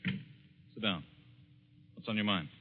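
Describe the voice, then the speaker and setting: An actor playing a dismissive, easily exasperated editor with brusque, scoffing delivery in old-time radio style. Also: male voice, fairly low pitch, slow, phone-quality sound, a little hiss or hum. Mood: wary, dismissive. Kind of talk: 1940s radio acting.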